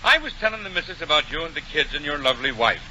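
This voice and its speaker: A man's voice: on the high side, very animated, very fast, bright, forceful tone, exaggerated voice. A man playing a needling, falsely genial police detective in a 1940s radio comedy. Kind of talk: 1940 radio comedy acting. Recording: muffled, noisy vintage radio broadcast.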